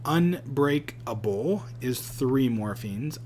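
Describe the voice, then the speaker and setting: A man's voice: medium pitch, lively intonation, slow, a little mellow, slight background noise. A man from Pittsburgh with a Pittsburgh accent, teaching a recorded lecture in a chatty, conversational way with casual asides.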